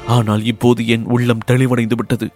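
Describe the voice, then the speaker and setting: A man's voice: medium pitch, level tone, normal speed, a little mellow, soft background music, close to an everyday voice. A man voicing a devoted, humble woman temple dancer, speaking in earnest, poetic and pleading lines for an audiobook.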